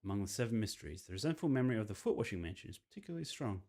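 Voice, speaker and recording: A man's voice: lowish voice, big pitch swings, very fast, warm tone. A man reading aloud a cocky, boastful rival curse bearer from a horror mystery visual novel, with taunting menace. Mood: menacing.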